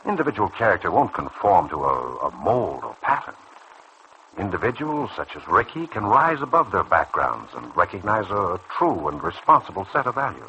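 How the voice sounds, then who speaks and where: A man acting in a vintage radio soap as a calm, earnest doctor who probes and persuades in a measured way. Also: low voice, exaggerated voice. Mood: persuasive, reassuring. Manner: measured, probing, earnest delivery. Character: calm, earnest, persuasive male doctor counselling a younger colleague. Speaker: radio serial actor. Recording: noisy old radio drama recording.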